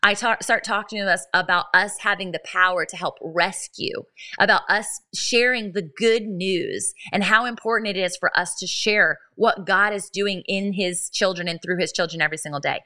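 Bright-voiced woman podcast host. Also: medium pitch, moderate pace. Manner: earnest, animated storytelling with many restarts. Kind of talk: faith podcast monologue. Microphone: podcast microphone, live stream.

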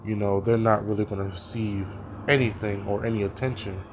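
A man's voice: lowish voice, little pitch movement, fairly quick, dull audio, noisy background. A young man talking through his thoughts as a student, hesitant and thinking aloud, with many "you know" fillers. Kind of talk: spoken reading reflection.

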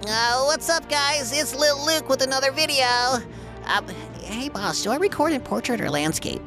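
A woman's voice: high voice, expressive, moderate pace, music in the background. A woman voicing an eager, awkward young boy video blogger in a cartoon, with hesitant amateur patter.

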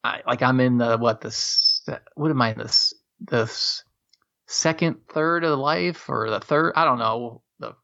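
A man's voice: mid-range voice, gravelly, pushed and bright. Guy in his 50s rambling on a podcast, lots of "you know", kinda dull muffled sound. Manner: rambling, halting, full of fillers. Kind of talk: casual chat.

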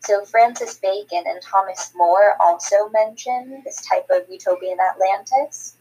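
Scottish female co-host, slightly husky, chatty and explanatory. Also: mid-range voice, a little slow, mellow, rough recording. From Scotland. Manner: chatty, explanatory, with frequent ums. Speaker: podcast co-host. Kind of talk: casual chat.

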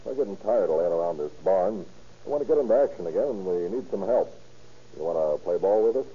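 An actor playing a bullying, hard-boiled gangster who gives slangy orders in 1930s radio crime drama style. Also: male voice, deep voice, animated, fast, warm tone, husky. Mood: businesslike. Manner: slangy, commanding, tough-guy talk. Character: bullying, menacing male gangster, hard-boiled gang boss. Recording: muffled old-time radio recording.

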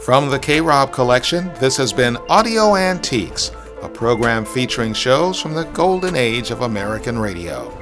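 A man's voice: mid-range voice, big pitch swings, normal speed, few pauses. A man hosting a podcast, reading a scripted announcement. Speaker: podcast host. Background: music.

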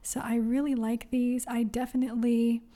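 A young adult woman hosting a solo wellness podcast, speaking softly and gently with many pauses, encouraging the listener. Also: fairly high pitch, little pitch movement. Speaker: podcast host.

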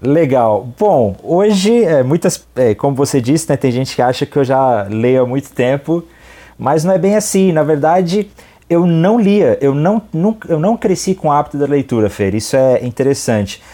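Warm-voiced young man, friendly guest. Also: a little high, animated, moderate pace. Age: 20s. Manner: friendly, self-deprecating, laughs often. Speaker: podcast guest, theologian. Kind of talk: casual podcast interview.

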